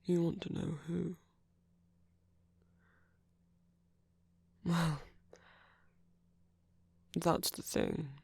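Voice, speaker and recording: A man playing a gentle, nervous king in an intimate ASMR roleplay, speaking formally and earnestly with soft hesitations. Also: on the high side, creaky, breathy edge, dry recording, full character voice. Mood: nervous, tender. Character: gentle, nervous king confessing love to a prince.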